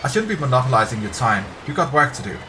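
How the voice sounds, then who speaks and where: A man's voice: medium pitch, big pitch swings, fast, lightly acted. A man voicing a talkative, uneasy young woman archaeologist in a story read aloud. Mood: uneasy, confiding. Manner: talkative, apologetic, hushed confiding. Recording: clear background ambience noise.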